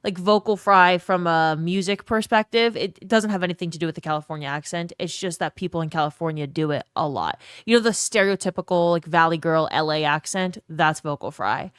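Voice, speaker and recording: Chatty woman streamer, smooth and low, slight fry, rambles and thanks chat by name. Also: fairly even intonation, fairly quick, few pauses, dry recording, clean studio sound. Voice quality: slight vocal fry at phrase ends. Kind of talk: casual live-stream chat.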